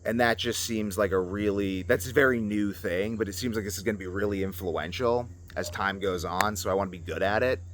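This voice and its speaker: A man's voice: fairly low pitch, a little fast, hardly any pauses, fairly bright tone. A man in his twenties talking casually and unscripted to a camera as a vlogger, rambling from one tangent to another. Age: 20s. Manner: rambling, jumping between tangents. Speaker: video creator.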